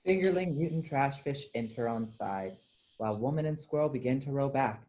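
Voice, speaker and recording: A woman's voice: extremely low pitch, brisk, mellow. A woman narrator reading stage directions as scripted narration.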